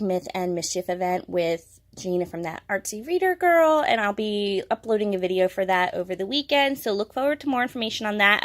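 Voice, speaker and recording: A woman with a mid-range voice chats to her viewers in a casual vlog style. She sounds enthusiastic about what she shares and leans on key words for emphasis. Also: medium pitch. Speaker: book vlogger. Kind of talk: casual monthly reading wrap-up to camera.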